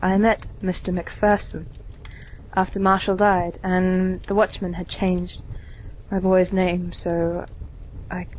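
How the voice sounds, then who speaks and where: A woman playing a kindly, weary widowed lady in an amateur radio play, with a measured, genteel delivery. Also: fairly low pitch, flat delivery, a little mellow, a little hiss or hum, poor audio. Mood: sorrowful.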